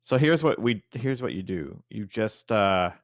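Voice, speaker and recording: A man's voice: lowish voice, animated, a little slow, no room sound, clean studio sound. A man playing in a tabletop game, cracking jokes and teasing the others. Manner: joking, teasing. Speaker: player. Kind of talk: casual tabletop game chat.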